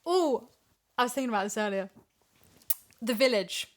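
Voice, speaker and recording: A woman's voice: fairly high pitch, lively intonation, normal speed, a crowd in the background. A woman in a casual podcast chat, talking emphatically and repeating phrases for stress as a voice actor discussing an audio drama.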